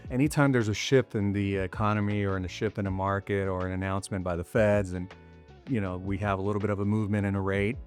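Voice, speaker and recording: A middle-aged man chatting as a guest, explaining things in a relaxed way with many casual fillers. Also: on the low side, fast talker, faint music behind. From raised partly in Costa Rica. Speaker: mortgage loan officer, guest. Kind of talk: casual interview chat.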